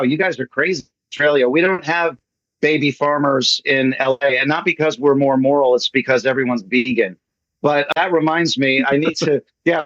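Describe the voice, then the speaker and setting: A man's voice: fairly high pitch, a bit bright, no echo. Chatty American man on a muffled call. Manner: chatty, jokey, enthusiastic. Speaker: playwright presenting his play at an online meeting. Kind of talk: casual chat. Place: online meeting call. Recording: muffled lo-fi online meeting audio.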